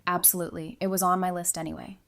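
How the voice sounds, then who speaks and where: An actress playing a supportive, caring, plain-spoken friend in an audio drama. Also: female voice, lowish voice, level tone, fast talker, gravelly, a bit bright, soft background music. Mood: matter-of-fact.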